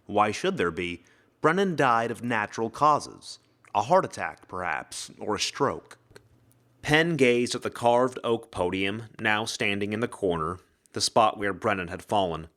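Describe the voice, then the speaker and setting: A man's voice: lowish voice, lively intonation, unhurried, a bit bright. A man reading mystery fiction as a dramatic scripted narration. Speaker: audiobook reader, actor.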